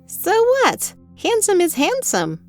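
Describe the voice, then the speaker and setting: A woman's voice: very high-pitched, huge pitch swings, slow pace, soft background music, heavily acted. A woman playing a bold, teasing and confident female author in a fantasy audio drama. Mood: playful, confident.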